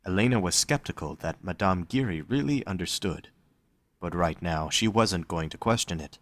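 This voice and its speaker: A man's voice: deep voice, normal speed. A man reading scripted story narration for an audio drama.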